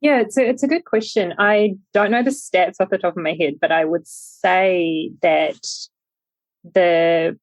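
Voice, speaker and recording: A woman's voice: lowish voice, steady tone, unhurried, dry recording, poor audio. A young woman with a New Zealand accent, a podcast guest talking thoughtfully with many ums and restarts. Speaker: podcast guest, startup founder. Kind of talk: casual interview chat.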